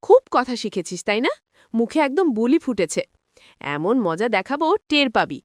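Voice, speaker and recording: Woman acting a bullying stepmother, very high voice, harsh, taunting, cartoon villain, dry studio sound. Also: big pitch swings, normal speed, dry recording. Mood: angry scolding. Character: cruel, scolding stepmother, bullying and threatening.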